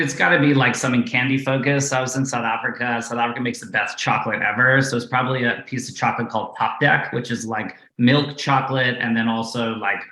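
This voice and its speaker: A man's voice: mid-range voice, reverberant, poor audio. A man being interviewed as a guest investor, talking casually with many fillers and tech jargon. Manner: filler-heavy, relaxed, jargon-laden.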